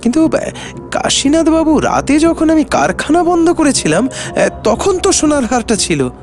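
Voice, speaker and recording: A stammering cartoon boss, super high voice, wildly swooping, music behind him. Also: male voice, sing-song, moderate pace, exaggerated voice. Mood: shocked, incredulous. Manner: stammering, incredulous, agitated. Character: flustered, anxious male workshop owner. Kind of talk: animated detective story acting.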